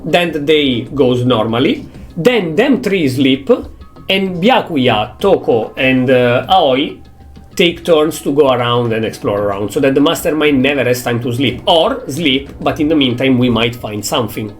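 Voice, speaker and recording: A young man with an Italian accent, a native Italian speaker, thinking aloud in English with halting phrasing as he streams a game. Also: mid-range voice, expressive, faint music behind. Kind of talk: casual gameplay commentary.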